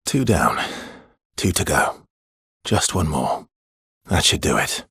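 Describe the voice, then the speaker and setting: A man playing a weary, guarded video game expeditioner, with a husky, breathy voice and an understated, measured, brooding delivery. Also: extremely low pitch, big pitch swings, sharp tone, no echo, clean studio sound, full character voice. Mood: guarded, matter-of-fact. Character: Verso, weary guarded male expeditioner with quiet regret. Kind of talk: video game voice lines, dramatic acting.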